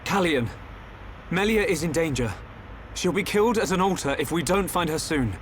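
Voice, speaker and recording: A man's voice: fairly high pitch, animated, normal speed, lightly acted. A man playing an earnest, direct young hero in a video game. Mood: urgent. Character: earnest, urgent young male hero with visions. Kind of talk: video game cutscene acting. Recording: faint ambient noise.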